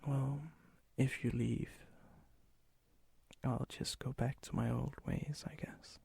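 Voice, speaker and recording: A man performs a melancholy vampire in an intimate ASMR roleplay. His voice is a breathy, gravelly whisper, kept very even and subdued. It sits close and dry, halting as if weighed down by loneliness and remorse. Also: medium pitch, no room sound, heavily acted. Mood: resigned, melancholy. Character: lonely, brooding, remorseful vampire, softening toward a stranger.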